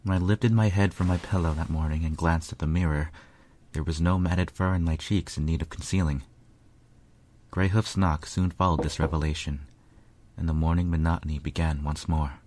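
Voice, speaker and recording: A man narrates a first-person story in a deep, low voice. His reading is scripted fiction, with music playing behind him. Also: steady tone, moderate pace, darkish tone.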